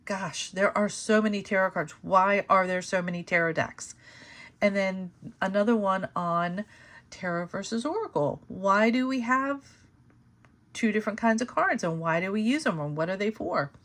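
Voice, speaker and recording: Slightly hoarse woman reading tarot. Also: medium pitch. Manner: thinks aloud with many fillers and restarts. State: slightly hoarse, losing her voice. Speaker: tarot reader and channel host. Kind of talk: casual tarot reading to camera.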